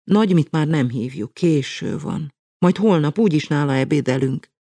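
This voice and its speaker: A man voicing a tired, flustered middle-aged mother who rambles apologetically in a high falsetto. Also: lively intonation, mellow, no room sound. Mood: weary.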